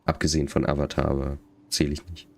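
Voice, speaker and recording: A man's voice: rumbling low, flat delivery, fast, creaky, noisy background. A man doing casual live gaming commentary, chatting to viewers and reading game text aloud. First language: German. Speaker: game livestreamer.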